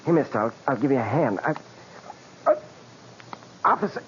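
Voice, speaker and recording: An actor playing a panicky, defensive motorist in a 1940s radio mystery. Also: male voice, mid-range voice, big pitch swings, moderate pace, close to an everyday voice. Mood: relieved, unsteady. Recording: dull, hissy 1940s radio recording.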